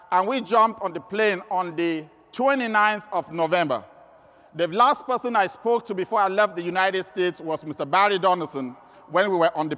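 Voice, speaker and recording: A man's voice: high voice, a bit bright. A man with a Cameroonian accent giving an emphatic motivational business training speech, repeating words for stress. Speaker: sales trainer and motivational speaker. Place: large indoor event hall. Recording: echoey hall, long reverb.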